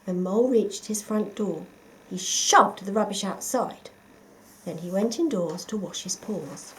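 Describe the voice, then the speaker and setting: A woman reading a children's picture book aloud, with expressive, dramatic emphasis for young listeners. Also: medium pitch, unhurried, slight background noise, low-quality recording. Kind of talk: expressive scripted story narration.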